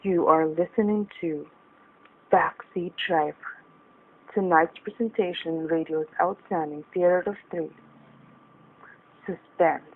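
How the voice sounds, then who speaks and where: A woman's voice: on the low side, slow pace, long pauses. A woman announcing a radio suspense show in a dramatic style. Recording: thin phone-like band, faint noise.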